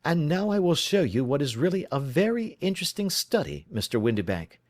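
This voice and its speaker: A man reading the part of a calm, sharp-witted male detective, suave and precise as he lays out his reasoning. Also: on the high side, a little fast, no echo, voice pushed up. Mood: explaining. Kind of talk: audiobook reading.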